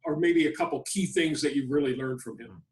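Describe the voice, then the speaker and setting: A man's voice: mid-range voice, a little fast, darkish tone. A man hosting a podcast interview in a conversational style, recorded in an echoey space at some distance from the microphone. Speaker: podcast host. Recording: roomy, distant from the mic.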